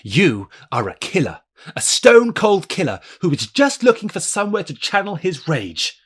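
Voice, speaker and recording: An actor playing an indignant, hot-headed young superhero in an audio drama, husky and emphatic, with an accusing manner. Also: male voice, high voice, sing-song, normal speed, full character voice. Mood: angry, accusing.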